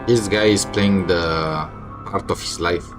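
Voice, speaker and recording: A man's voice: low voice, fairly even intonation, takes it slowly. A man giving casual, off-the-cuff reaction commentary as he streams a game. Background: game music.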